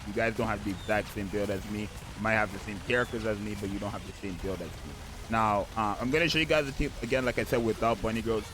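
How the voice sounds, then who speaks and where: A man with a slightly raspy voice, just out of bed, hosting casual gameplay commentary and rambling with frequent "right?" checks. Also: on the low side, noisy background. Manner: rambling, keeps asking "right?". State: just woke up. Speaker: gaming video host.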